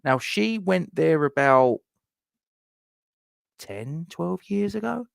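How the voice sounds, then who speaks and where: A man's voice: fairly high pitch, animated, slow, gravelly, no echo, clean studio sound. A middle-aged man hosts a casual live chat, reading viewer comments and rambling with lots of fillers and restarts.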